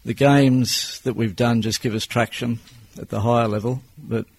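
A man in his sixties with an Australian accent, answering interview questions as a business owner in a plain-spoken, measured way. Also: mid-range voice, steady tone, creaky, slight background noise. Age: 60s.

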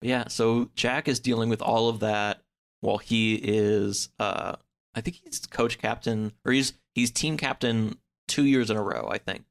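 A man in a casual podcast chat, recapping a story in a halting, hedging way. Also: fairly low pitch, steady tone, creaky. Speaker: podcast speaker discussing books.

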